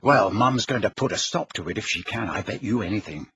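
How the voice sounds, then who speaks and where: A man's voice: fairly low pitch, lively intonation, brisk, a bit bright. A man voicing a sly, outspoken teenage girl with a cutting, mocking manner in an audiobook story. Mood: incredulous, blunt.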